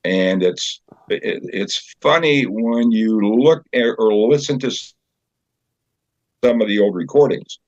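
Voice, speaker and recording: An older man chatting as an interview guest, telling anecdotes in a halting, conversational storyteller's way. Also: fairly low pitch, a little mellow, no echo. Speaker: guest, community theater director and actor. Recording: slightly dull, dry recording.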